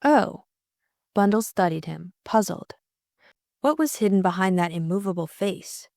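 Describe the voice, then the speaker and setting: A woman's voice: mid-range voice, moderate pace, creaky, a little mellow, dry recording, studio quality. A woman reading scripted narration of a classic mystery novel as an audiobook narrator.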